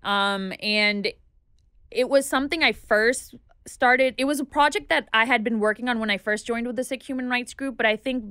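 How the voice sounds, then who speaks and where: A woman in her thirties, a podcast guest and activist, speaking earnestly in a casual interview with frequent fillers, recorded on a studio boom mic in a small podcast studio. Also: moderate pace, sharp tone, clean tone, no echo. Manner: earnest, thoughtful, lists points with frequent fillers. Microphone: studio microphone on boom arm.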